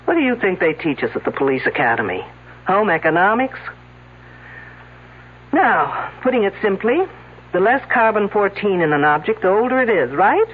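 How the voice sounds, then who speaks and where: A woman's voice: mid-range voice, sing-song, moderate pace, breathy edge. An actress playing a confident, wisecracking female detective in a radio mystery, needling the suspects in a sardonic way. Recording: tinny old radio broadcast.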